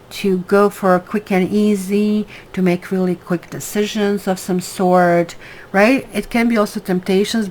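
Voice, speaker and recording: A woman's voice: on the low side, a little mellow, slight background noise, low-quality recording. A woman from Croatia with a Croatian accent, giving a rambling, casual tarot reading full of fillers and tag questions. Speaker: tarot reader.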